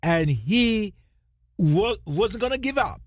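A Jamaican man, a pastor co-hosting a radio ministry show, preaching emphatically with rhetorical questions and drawn-out words. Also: high-pitched, very animated, dry recording, studio quality.

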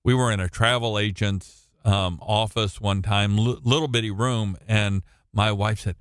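A middle-aged American man with a booming voice, teaching from the Bible as a preacher in a halting, deliberate way with long pauses. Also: fairly even intonation, smooth, dry recording, clean studio sound. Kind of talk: Bible reading and informal teaching with personal anecdotes. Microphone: close studio-style microphone at a computer.

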